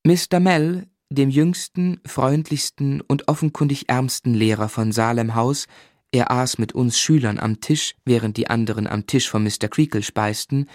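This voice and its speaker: A man's voice: medium pitch, fairly even intonation, a little mellow, no room sound, natural voice. Man acting as reflective storyteller, dry studio sound. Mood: wry, measured. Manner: measured, articulate, wryly reflective. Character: reflective grown man narrating his boyhood memories. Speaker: narrator. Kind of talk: literary scripted narration in a radio drama.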